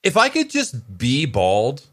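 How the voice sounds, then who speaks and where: A man's voice: mid-range voice, huge pitch swings, takes it slowly, fairly bright tone, husky. A man hosting a podcast in casual chat, joking and crude. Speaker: podcast host.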